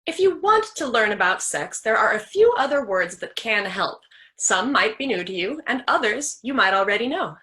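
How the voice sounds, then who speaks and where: A woman's voice: a little high, sing-song, moderate pace, pushed and bright. A woman giving a dramatic reading from books, moving between scripted narration and acted dialogue.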